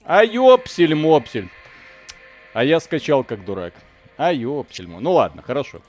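A man's voice: fairly high pitch, very animated, unhurried, a little mellow. Man casually hosting a game stream over music. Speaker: video game streamer and host.

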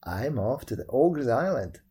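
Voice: medium pitch, sing-song, measured pace, dark tone, slightly rough, heavily acted. A storyteller voicing a brave, cheerful young hero boy in a storybook tale, confident and plain-spoken. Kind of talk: storybook character voice.

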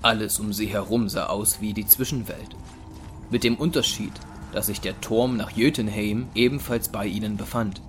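A man narrating a scripted dramatic story in a measured, deliberate way. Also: on the low side, fairly even intonation, slight background noise. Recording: music under the voice.

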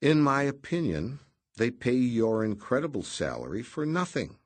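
A man's voice: medium pitch, sing-song, moderate pace, no room sound, pitched above the performer's own voice. A man voicing a small, elegant scientist who speaks in a dry, sardonic and polite way.

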